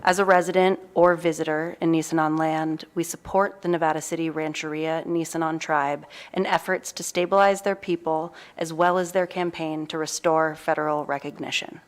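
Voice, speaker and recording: Woman w a very low voice reading a formal statement slow and solemn. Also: fairly even intonation. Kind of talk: reading a land acknowledgment aloud. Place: council chamber.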